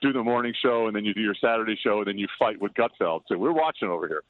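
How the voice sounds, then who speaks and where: An older man chatting as an interview guest, loud-voiced, rambling and self-deprecating. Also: lowish voice, rapid-fire, no room sound. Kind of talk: casual interview chat. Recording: tinny dry phone line.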